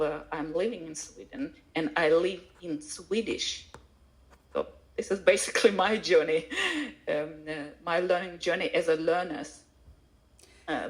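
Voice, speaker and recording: A woman speaking non-native English with a foreign accent, a language teacher giving a personal account haltingly, searching for words. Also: on the low side, low-quality recording. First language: not English. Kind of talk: personal account at a live online event.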